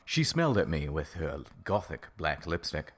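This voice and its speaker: A man's voice: very deep voice, sing-song, normal speed, full character voice. A man reading fan fiction mock-seriously as a melodramatic, sulky, foul-mouthed teenage goth girl narrator.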